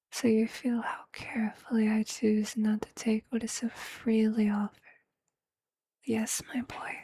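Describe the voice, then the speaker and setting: Someone acting out a breathy, whispering, obsessive vampire lover. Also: medium pitch, mellow, no echo, exaggerated voice. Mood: soothing, devoted. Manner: slow, intimate, soothing, formal poetic phrasing. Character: obsessive, tender, possessive vampire lover, motherly and romantic. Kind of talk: whispered ASMR roleplay.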